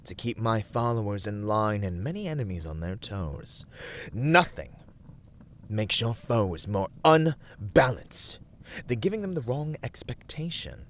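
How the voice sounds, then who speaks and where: A proud, menacing demonic warlord in an ASMR villain roleplay. His low voice is slow and deliberate, grandly commanding, and often sinks toward a breathy whisper. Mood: imperious.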